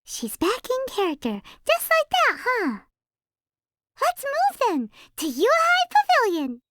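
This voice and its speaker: A woman voicing a tiny, cheeky fairy companion in a video game, squeaky, childlike and blunt. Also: huge pitch swings, takes it slowly, fairly bright tone, dry recording, full character voice. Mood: teasing. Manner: bubbly, blunt, exclaiming.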